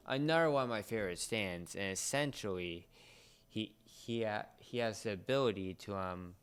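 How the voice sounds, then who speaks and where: A man co-hosting a casual talk show, explaining things haltingly and searching for words. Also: lowish voice, clean tone. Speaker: co-host of an entertainment talk show. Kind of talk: casual explanatory chat about anime.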